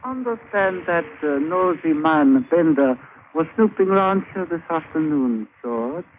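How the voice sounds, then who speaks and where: A man's voice: on the high side, lively intonation, a little slow. An actor playing a sly, scheming island witch doctor in an old radio drama, speaking clipped broken English with sardonic amusement. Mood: annoyed, menacing. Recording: tinny, faintly hissy old radio recording.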